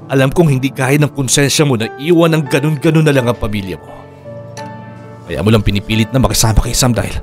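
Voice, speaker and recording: A man's voice: medium pitch, close to an everyday voice. Remorseful hot-tempered husband played by a man, radio drama, mid-range voice, faint music.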